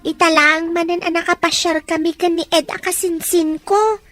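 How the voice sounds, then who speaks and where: Young Ilocano schoolgirl, acted by a woman: very high, friendly, eager, faint music underneath. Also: steady tone, normal speed, a bit bright. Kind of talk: Ilocano audio drama acting.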